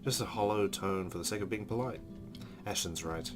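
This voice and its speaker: A man with a lowish voice hosts a let's play. He reads the game's narration aloud and drops in casual asides, with faint music underneath. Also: fairly quick.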